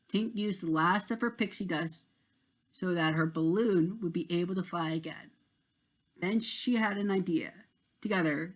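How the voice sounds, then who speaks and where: A woman narrating a scripted children's story deliberately, with dramatic pauses. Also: fairly low pitch, rough recording. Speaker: storybook reader.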